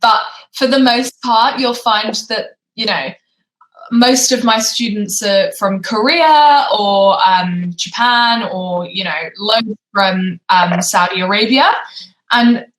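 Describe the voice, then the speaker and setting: A woman's voice: on the high side, fairly bright tone, echoey room, rough recording. A woman English tutor chatting casually with a student on a video call, often saying "you know". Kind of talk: casual conversation.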